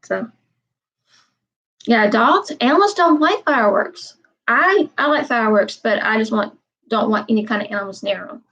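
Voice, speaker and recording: A woman's voice: fairly high pitch, expressive, normal speed, fairly bright tone, muffled recording. A woman in her twenties chatting casually on a live stream, wandering and repeating herself. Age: 20s. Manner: meandering, repeats herself, frequent "um". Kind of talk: rambling casual live chat.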